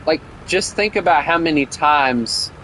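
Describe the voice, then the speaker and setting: A man's voice: a little high, expressive, measured pace, sharp tone, a little hiss or hum, rough recording. Rambling young Southern man streaming games. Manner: rambling, opinionated, with many fillers. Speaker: gaming streamer. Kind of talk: casual gameplay chat with viewers.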